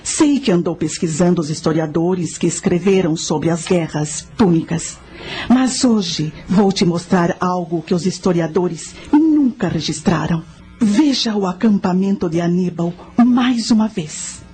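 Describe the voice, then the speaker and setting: A woman playing a calm, instructive guide in a radio drama, measured and didactic. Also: fairly low pitch, faint music behind, natural voice.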